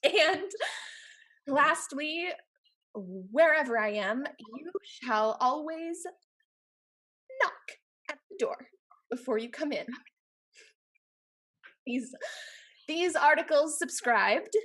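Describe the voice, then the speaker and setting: Woman acting a bright, high-voiced haughty heiress. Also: expressive, full character voice. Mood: imperious, teasing. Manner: arch, theatrical, deliberate. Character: free-spirited witty heiress, haughty, playful, demanding. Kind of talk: Restoration comedy stage dialogue.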